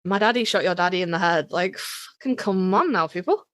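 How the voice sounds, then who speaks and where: A woman's voice: on the low side, expressive, a little fast, fairly bright tone, no echo. A woman co-hosting a podcast in casual fan chat, speaking emphatically with plenty of swearing and teasing. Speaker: podcast co-host.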